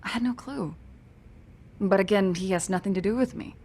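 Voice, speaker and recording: An actress playing a guarded mother with a slightly husky voice, politely prickly and restrained in a video game cutscene. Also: mid-range voice, normal speed, a little mellow. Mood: polite, defensive. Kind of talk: English-dubbed video game cutscene acting.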